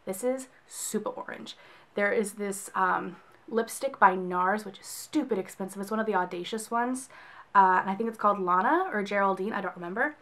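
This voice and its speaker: Young Texan woman vlogger, hoarse from a cold; casual, rambling chat to camera. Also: medium pitch, normal speed, a bit bright. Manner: chatty, rambling, with frequent laughs. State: soft, scratchy voice from a cold. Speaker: book and beauty vlogger. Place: small room in front of bookshelves, indoors.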